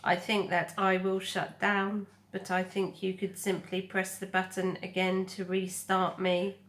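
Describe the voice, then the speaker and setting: Storybook robot mother, read by a woman: caring, calm, formal and literal, with unhurried medium-pitched speech. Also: close to an everyday voice. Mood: calm, reassuring.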